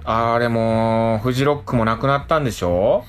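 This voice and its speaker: Mid-pitched man chatting over soft music. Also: moderate pace. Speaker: radio show co-host. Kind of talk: casual chat. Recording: background music under the voice.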